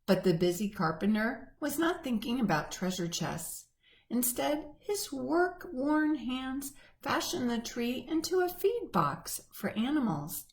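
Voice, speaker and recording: A woman reading a picture book aloud to children, gently and expressively. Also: high voice, big pitch swings, a little slow. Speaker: church children's storyteller.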